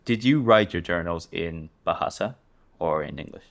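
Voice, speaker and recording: A man with a very deep voice hosts a conversation. He speaks in a measured, thoughtful way. He often repeats words as he shapes his questions. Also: expressive, creaky. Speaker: podcast host. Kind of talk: interview conversation.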